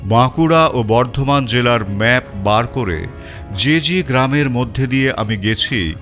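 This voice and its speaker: Expressive male storyteller reading a detective story. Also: mid-range voice, unhurried. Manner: expressive, rising and falling. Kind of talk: dramatic first-person detective story reading. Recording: faint music bed.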